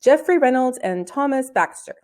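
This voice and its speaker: Lady doing a nervy man teacher in a storybook, flustered and hesitant, up and down pitch. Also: expressive, normal speed, a little mellow. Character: worried inexperienced male schoolteacher on trip.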